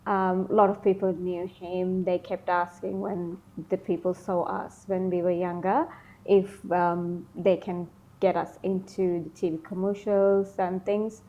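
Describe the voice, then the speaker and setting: A woman from Sri Lanka, in her thirties, answering interview questions casually, often pausing with ums and likes. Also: on the low side, little pitch movement, mellow, highs cut off. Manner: hesitant, many ums and likes. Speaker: interview guest, beauty business founder.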